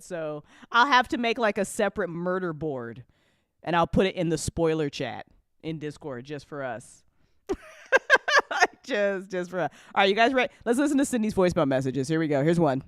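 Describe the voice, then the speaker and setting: Chatty woman, clear dry voice. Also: on the low side, animated, moderate pace, no echo. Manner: chatty, informal, swearing, repeats phrases for emphasis. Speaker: host of an audio drama after show. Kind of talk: casual live stream chat. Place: close indoor mic on a live stream.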